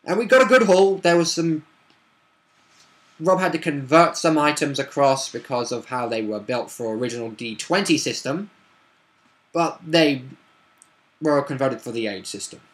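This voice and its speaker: Casual male storyteller, a tabletop player retelling a session off the cuff, halting and self-correcting. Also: fairly high pitch. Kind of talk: casual unscripted game recap.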